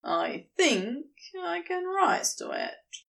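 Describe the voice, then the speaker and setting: A mischievous giant serpent, male and self-assured, as a woman narrator plays him. He speaks slowly and teasingly, with a languid storybook delivery that swings in pitch. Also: very animated, gravelly, a bit bright, far from the mic, heavily acted. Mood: playful, teasing.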